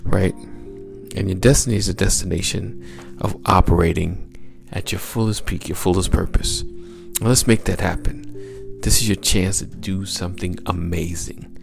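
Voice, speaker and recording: A man with a very low voice that is heavy with gravel and fry talks as a mindset coach on his podcast. His delivery is smooth and encouraging, built on repeated exhortations. A faint bed of music plays underneath. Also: fairly even intonation, normal speed. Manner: upbeat, repetitive coaching exhortations. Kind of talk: motivational podcast talk.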